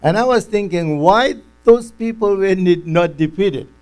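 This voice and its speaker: A man preaching a sermon, teaching slowly and deliberately and acting out quotes. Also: high voice, darkish tone.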